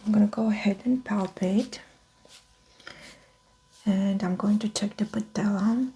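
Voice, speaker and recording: A woman speaks in a soft, breathy near-whisper, playing a doctor in an ASMR exam. She is gentle and unhurried as she explains each step. Faint noise and small clicks sit behind her voice. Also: mid-range voice, fairly even intonation, poor audio. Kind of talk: soft-spoken ASMR medical roleplay.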